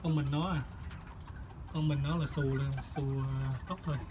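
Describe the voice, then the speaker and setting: A man casually narrating a tour of his hillside poultry coop, relaxed and unhurried, with frequent hesitations. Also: a little high. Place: outdoor chicken and pigeon coop on a hillside. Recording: loud outdoor farmyard noise. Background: chickens clucking, roosters, pigeons.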